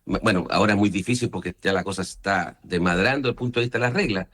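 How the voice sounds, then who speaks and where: A man's voice: sits low, very fast, a bit bright. A man from Chile with a Chilean accent, speaking as a senator in an interview and punctuating his points with short questioning tags. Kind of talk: political interview, explaining opinions.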